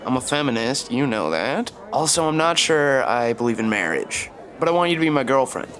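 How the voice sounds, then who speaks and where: A man's voice: mid-range voice, very animated, brisk, crowd noise, natural voice. A man playing a pushy flirty guy at a party. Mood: pleading. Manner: wheedling, persistent.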